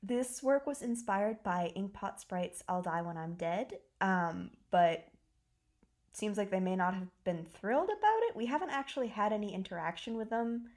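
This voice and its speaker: A woman's voice: medium pitch, expressive, moderate pace, a little mellow. Woman just chatting as a cohost, jokey and irreverent, normal mid voice. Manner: irreverent, sweary, joking. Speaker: podfic co-creator and host. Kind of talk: casual chat endnotes.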